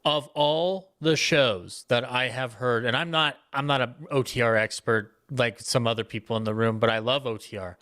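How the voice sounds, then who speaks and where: Mid-pitched man, animated panel storyteller. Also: moderate pace, hardly any pauses. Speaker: panelist, old-time radio fan. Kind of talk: casual panel discussion.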